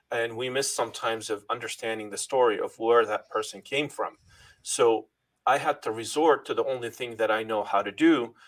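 A man's voice: on the low side, fairly bright tone. A middle-aged man originally from Lebanon, a guest in an interview, telling his life story earnestly and thanking God often, with frequent restarts.

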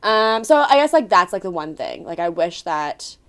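A woman's voice: on the low side, very animated, moderate pace, a bit bright. A woman in her late twenties chatting casually as a podcast co-host, swearing freely, laughing often and filling her talk with "like". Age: late 20s. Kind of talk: casual chat.

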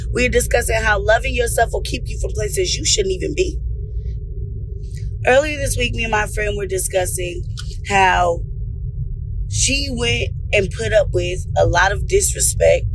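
A woman's voice: medium pitch, noisy background. A woman radio show host talking conversationally to listeners.

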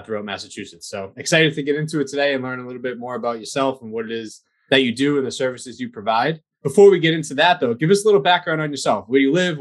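A man hosting a local business podcast, chatting in a friendly, easygoing way with plenty of fillers. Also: mid-range voice. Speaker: podcast host. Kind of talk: casual interview.

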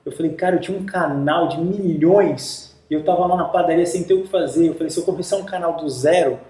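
Lively Brazilian man telling stories. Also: on the high side, quick pace, roomy sound, poor audio. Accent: Brazilian Portuguese, slightly Italian-tinged. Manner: animated storyteller, acts out quotes. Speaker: interview guest. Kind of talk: casual interview chat.

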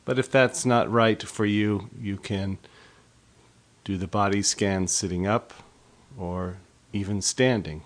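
A man leading a guided body scan meditation as an instructor, speaking in a measured, gently instructive way with pauses between phrases. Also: fairly low pitch, fairly even intonation.

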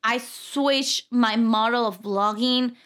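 A woman's voice: fairly high pitch, steady tone, slow, fairly bright tone. A woman in her thirties with a Mexican Spanish accent, chatting as a podcast guest, giggly, with loose grammar. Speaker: podcast guest, lifestyle blogger. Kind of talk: casual interview chat.

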